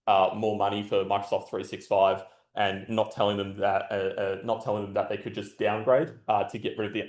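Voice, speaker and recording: A man with a loud voice gives a casual conference talk at a podium microphone, blunt and full of filler sounds and the odd swear. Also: on the low side. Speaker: conference speaker. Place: large conference hall. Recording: roomy hall echo.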